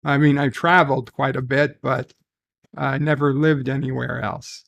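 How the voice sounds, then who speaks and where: A man's voice: mid-range voice, steady tone, normal speed, a bit bright, dry recording. A man from New hosting a casual stream, reading viewer messages and rambling with many self-corrections. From New York City. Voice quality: gravelly. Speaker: stream host.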